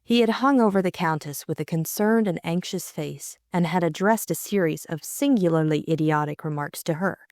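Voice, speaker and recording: A woman's voice: lowish voice, normal speed, few pauses, darkish tone, dry recording, studio quality. A woman reading a story aloud as an audiobook narrator.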